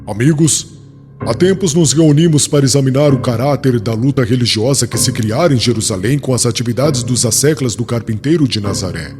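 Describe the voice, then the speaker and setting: Man acting a proud young zealot orator, booming reverb. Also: a little fast, close to an everyday voice. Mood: proud, stern. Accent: Brazilian. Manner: formal, declamatory oratory. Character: proud young rabbi zealot, stern persecutor addressing a council. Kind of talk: dramatized audiobook speech. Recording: long hall reverb, music underneath. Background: music.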